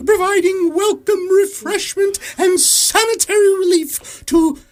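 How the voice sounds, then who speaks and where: Pompous, shrill lady dignitary. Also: steady tone, measured pace, a little mellow. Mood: pompous, gushing. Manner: pompous, gushing, swooping oratory. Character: pompous, patronizing lady dignitary giving a ceremonial speech. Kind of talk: radio comedy drama acting.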